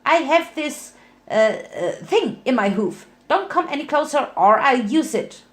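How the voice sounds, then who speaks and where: A woman voicing an excitable, scatterbrained female cartoon pony who blusters and fumbles for words. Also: sits high, measured pace, voice pushed up, heavily acted. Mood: threatening.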